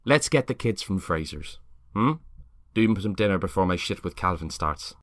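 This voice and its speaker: A man plays an affectionate suburban husband in his late thirties in a scripted drama. He talks in a casual, breezy way, with a bright tone and dry sound. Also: low-pitched, lively intonation, normal speed, no echo. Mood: affable.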